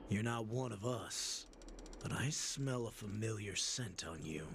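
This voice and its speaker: An actor playing a gruff, wary video game character with a gravelly voice, slow and curt. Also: male voice, on the low side, expressive, noisy background. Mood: suspicious. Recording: loud game music behind the voice.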